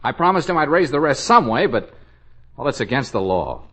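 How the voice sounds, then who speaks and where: A man's voice: mid-range voice, very animated, fairly quick, fairly bright tone. An actor playing a hapless, anxious man sinking into money trouble, with rueful asides, in 1940s radio comedy-drama style. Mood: defeated, rueful. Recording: muffled vintage radio recording.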